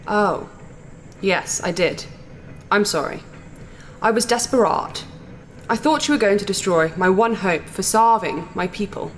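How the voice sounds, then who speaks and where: A woman playing a massive, blunt insectoid alien princess in a sci-fi audio drama, speaking in a formal, deliberate, regal way with odd vowel sounds. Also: mid-range voice, animated, slight background noise, close to an everyday voice. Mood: blunt, earnest.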